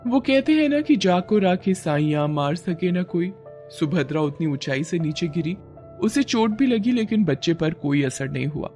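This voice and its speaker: A man's voice: high-pitched, animated, normal speed, darkish tone, faint music behind. A man narrating a scripted moral story as a storyteller.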